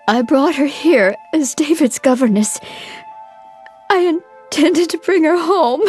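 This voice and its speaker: An emotional, high-voiced grieving daughter. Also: female voice. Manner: halting, emotional. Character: grieving grown daughter stunned by her mother's secret. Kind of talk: audio drama acting. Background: sentimental theme music.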